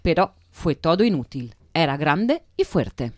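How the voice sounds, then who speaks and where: An actress playing a wry voice-over narrator who addresses listeners in a satirical audio drama. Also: female voice, low-pitched, very animated, a little slow, crowd noise. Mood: wry, explanatory.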